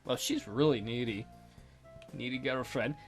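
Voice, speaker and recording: A woman's voice: very deep voice, unhurried, slightly rough, faint music behind. A woman reading a visual novel aloud as a let's play host, breaking in with playful, jokey commentary.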